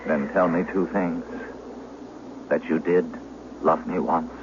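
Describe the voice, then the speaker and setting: An actor playing a persuasive former lover in a radio drama, pleading earnestly and formally. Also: male voice, sits low, fairly even intonation, slow. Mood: pleading, tender. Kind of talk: old-time radio drama acting. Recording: muffled, noisy old radio recording.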